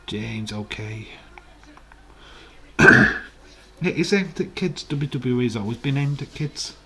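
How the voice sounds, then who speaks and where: A man with a croaky, hoarse voice, hosting a casual live stream, chatting in a rambling way and reading out viewer comments. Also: mid-range voice, animated, takes it slowly, pushed and bright, faint music behind.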